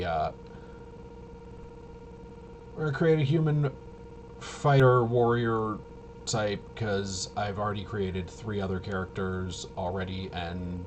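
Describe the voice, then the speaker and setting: A man's voice: lowish voice, darkish tone. A man giving a casual, unscripted game walkthrough in a hesitant, rambling way, often trailing off and correcting himself. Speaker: tabletop game reviewer and presenter. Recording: clearly noisy room ambience.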